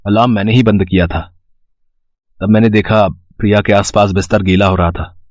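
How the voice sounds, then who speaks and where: A man playing a caring young husband and son in an animated moral story, earnest, gentle and persuasive. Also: lowish voice, normal speed, dry recording, clean studio sound, lightly acted. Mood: earnest, pleading.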